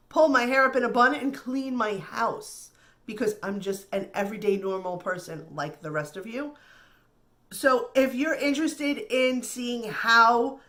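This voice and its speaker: Slow-talking nasal woman vlogger. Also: fairly high pitch, a bit bright. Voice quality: slightly nasal. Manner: chatty and reassuring, repeats words. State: recovering from flu. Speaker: beauty vlogger. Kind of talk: casual makeup tutorial.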